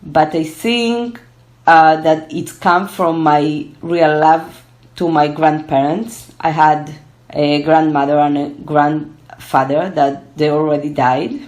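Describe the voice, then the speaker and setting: Slow, low-voiced Argentine Spanish woman. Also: steady tone, darkish tone. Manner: hesitant, many fillers and restarts. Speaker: guest, gerontology researcher. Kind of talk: casual interview.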